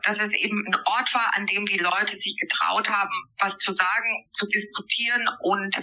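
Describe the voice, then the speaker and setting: A woman from eastern Germany, an author answering interview questions thoughtfully, pausing and restarting as she searches for words. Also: lowish voice, bright, forceful tone, muffled recording.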